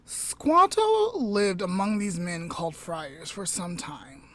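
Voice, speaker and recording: A woman podcast host telling a story aloud informally, in a measured way with dramatic pauses. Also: lowish voice, expressive, dry recording.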